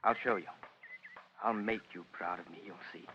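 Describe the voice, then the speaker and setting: An actor playing an insecure, intense, sensitive young British man in a 1950s radio drama, earnest and halting. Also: lowish voice, lightly acted. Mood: earnest, wounded. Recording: muffled vintage radio recording with hiss.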